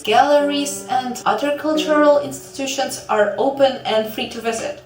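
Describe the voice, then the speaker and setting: A woman narrating a scripted vlog in an expressive, playful way. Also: medium pitch. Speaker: video creator, indie game developer. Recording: roomy echo, distant mic, music behind. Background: instrumental music.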